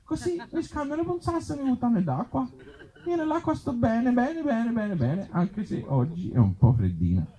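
A naive, contented little crab from a comic tale, acted out by a man. The voice sits high above normal speech, soft-edged and sing-song. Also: very animated, moderate pace, rough recording. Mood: naive contentment.

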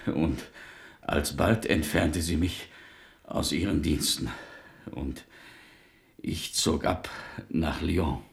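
An actor playing a dejected, halting man with a gravelly voice in a German radio drama. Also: rumbling low, exaggerated voice.

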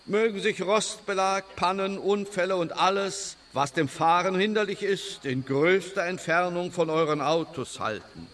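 A high-pitched male sheikh intones ornate blessings with ceremonial gravity. He stands a little off the mic, with clear ambient noise around him, in a radio play. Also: normal speed, few pauses, a bit bright. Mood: solemn, incantatory. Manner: ceremonial, florid, declamatory. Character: solemn sheikh chanting florid blessings over donations. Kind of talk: radio drama, incantatory blessing. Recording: slightly distant, noisy ambience behind.

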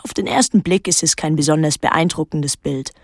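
An actress playing a pensive, detached young woman, narrating and talking in a literary radio drama in a measured, reflective way. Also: deep voice, dry recording, studio quality. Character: pensive young woman recalling her island summer, detached, introspective. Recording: music under the voice. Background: music.

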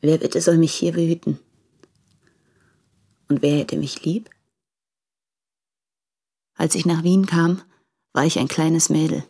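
An actress playing a proud, candid, impoverished young translator in a German radio play, speaking deliberately in a breathy voice. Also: female voice, low-pitched, fairly even intonation, mellow, exaggerated voice. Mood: bitter, intense. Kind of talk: German radio play, reading letters aloud.

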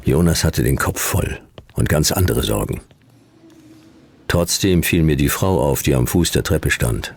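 Very deep, gravelly noir detective. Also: male voice, unhurried, slight background noise, heavily acted. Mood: deadpan, sardonic. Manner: laconic, deadpan, clipped sentences. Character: world-weary, wry male private detective in dystopian future. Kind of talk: noir radio drama, first-person narration and dialogue.

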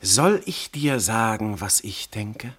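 An actor playing a commanding, seductive god posing as a noble husband, declaiming classical radio verse in a theatrical, grandiose manner. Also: male voice, lowish voice, sing-song, slow, a bit bright, husky, heavily acted. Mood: grand, seductive. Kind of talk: classical German stage verse in a radio play.

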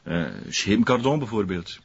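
A low, smooth-voiced West Flemish man in a radio drama. He is an aggrieved but well-off ex-concierge who rambles in folksy dialect. The sound is a touch muffled. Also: animated, a little slow, full character voice. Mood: knowing, indignant. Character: aggrieved male ex-concierge turned prosperous art dealer. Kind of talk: naturalistic radio drama dialogue.

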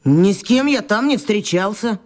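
A woman's voice: medium pitch, lively intonation, moderate pace, a little mellow, close to an everyday voice. A woman playing an evasive, nervous schoolboy troublemaker in a children's radio play, curt and defensive.

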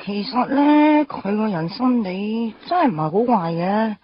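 A woman's voice: medium pitch, expressive, a little slow, breathy edge, dull audio. An actress with a Hong Kong Cantonese accent playing a playful, candid young woman in a radio drama, casual and teasing. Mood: frank.

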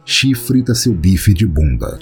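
A man with a Brazilian Portuguese accent narrates a scripted horror story in a measured, solemn, dramatic way. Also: low voice, sing-song, darkish tone, soft background music. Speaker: podcast narrator.

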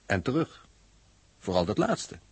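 An actor playing an earnest, analytical space test crewman with a husky voice, reasoning methodically in a 1960s radio drama. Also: on the low side, sing-song, a little slow, a little mellow, no room sound, heavily acted. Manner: methodical, didactic.

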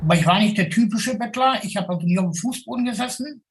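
A man's voice: sits high, fast. A middle-aged man from the Ruhr speaks like a street-level city guide. He is blunt and colloquial, giving a candid, unscripted account. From Ruhr area, Germany. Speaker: city tour guide, interviewee. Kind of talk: casual, candid spoken account.

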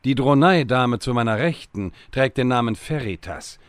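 An actor playing a stern, self-important time-travelling wizard with a measured, dry delivery in a comedic fantasy audio drama. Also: male voice, mid-range voice, lively intonation, no room sound, close to an everyday voice. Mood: pompous, dry.

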